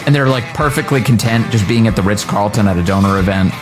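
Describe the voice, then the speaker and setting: Fast, sarcastic commentator over guitar. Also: male voice, on the low side. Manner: sarcastic, rhetorical questions. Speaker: podcast host in a promo. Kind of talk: opinionated commentary.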